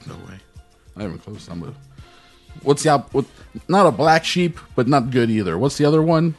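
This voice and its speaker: A man's voice: medium pitch, animated, moderate pace, soft background music. A man hosting a podcast, chatting casually in a laid-back, rambling way and swearing now and then. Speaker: podcast host. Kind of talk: casual chat.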